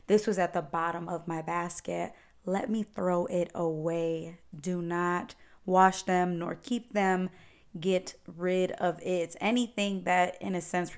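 Woman, slightly raspy, podcast host giving advice, low-pitched, smooth. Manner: chatty, encouraging, advice-giving. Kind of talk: solo podcast, answering listener questions.

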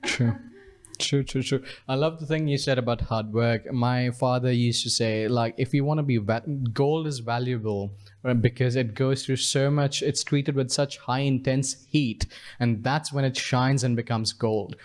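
A podcast host who talks in a measured, steady way, slowing down when reflecting. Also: medium pitch. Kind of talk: casual interview chat.

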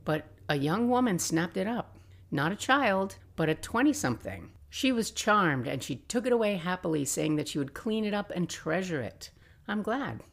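A woman in her sixties narrating personal memories as a podcast host, reflective and expressive with wry asides. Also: lowish voice, moderate pace, creaky. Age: 60s. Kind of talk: personal memoir storytelling, scripted narration.

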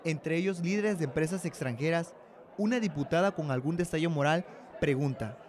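A man's voice: fairly high pitch, moderate pace, a little mellow. A young man with a Mexican accent narrating a scripted radio drama. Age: young adult.

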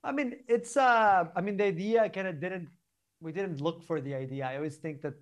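A man's voice: sits high, moderate pace. A young man with a Spanish accent, chatting informally and discursively as a podcast guest, often checking in with "right?". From Barcelona, Spain. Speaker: podcast guest, startup co-founder. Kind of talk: casual interview conversation.

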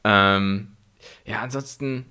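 A man's voice: on the low side, slow, sharp tone, smooth. A man co-hosting a podcast, chatting casually, hedging with a wry tone. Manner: hesitant, many fillers and restarts, wry. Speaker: podcast co-host. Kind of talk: casual chat.

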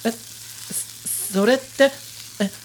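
A man's voice: very high-pitched, very slow, slight background noise, exaggerated voice. A man playing a shy, awkward diner with feminine speech, hesitant and stammering, in a comic radio drama. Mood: flustered.

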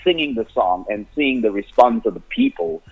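A man's voice: medium pitch, sing-song, normal speed, narrow, tinny audio, faint music behind. A man, an Afrikaans speaker telling his story as a musician guest in a rambling way, full of restarts. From South Africa, Cape Flats. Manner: rambling, many restarts, laughs often. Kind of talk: casual interview answers.

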